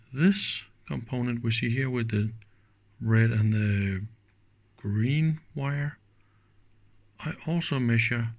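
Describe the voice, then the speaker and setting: A man with a mid-pitched voice commentates on an electronics teardown. His delivery is very slow and halting, as he thinks aloud in short pieces while examining parts. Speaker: host of an electronics teardown channel.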